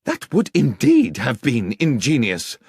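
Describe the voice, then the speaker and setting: A man's voice: medium pitch, huge pitch swings, a little slow, creaky, darkish tone, husky, dry recording, clean studio sound, heavily acted. A man voicing a young vicar in an audiobook, indignant and scornful, with a genteel, haughty, sarcastic manner. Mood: insolent fury. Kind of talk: audiobook character acting.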